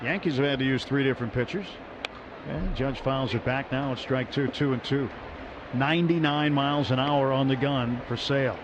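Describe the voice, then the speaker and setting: A man calling a baseball game on the radio as play-by-play announcer, brisk and lifting with excitement on big plays. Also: mid-range voice. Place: ballpark broadcast booth. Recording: muffled broadcast audio with crowd noise.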